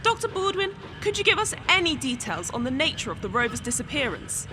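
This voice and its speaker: A woman's voice: high voice, sing-song, brisk, pushed and bright, faint music behind, heavily acted. A young woman playing an earnest field reporter, asking formal questions in a scripted news drama. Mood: inquiring. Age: young adult. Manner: inquiring, formal.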